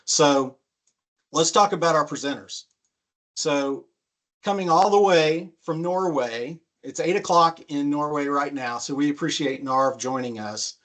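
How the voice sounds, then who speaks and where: A man hosting a business webinar, introducing the presenters in a brisk, friendly way with frequent "um" fillers. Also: fairly high pitch, fairly bright tone. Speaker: webinar host.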